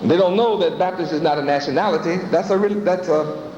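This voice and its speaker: A man's voice: a little high, a little fast, darkish tone, a little hiss or hum. A man preaching a sermon with rhetorical questions and a repetitive cadence. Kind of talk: sermon with acted dialogues. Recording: echoey hall, dull lo-fi sound.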